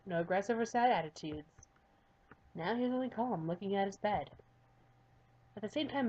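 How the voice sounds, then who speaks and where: A woman's voice: medium pitch, a little mellow, lo-fi sound. A woman narrating a visual novel aloud in an earnest, slightly stumbling way. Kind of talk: storybook-style narration.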